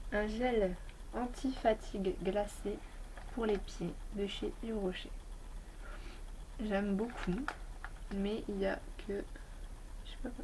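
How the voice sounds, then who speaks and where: A woman's voice: mid-range voice, dark tone, slight background noise, rough recording. A woman beauty vlogger with a slight head cold, chatting casually and rambling through a review of her toiletries. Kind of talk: casual product review chat.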